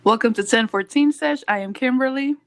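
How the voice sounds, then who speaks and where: A woman's voice: sits high, brisk. Bubbly young woman from Puerto Rico. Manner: bubbly, laughs often, mixes in Spanish words. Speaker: podcast co-host. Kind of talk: casual chat.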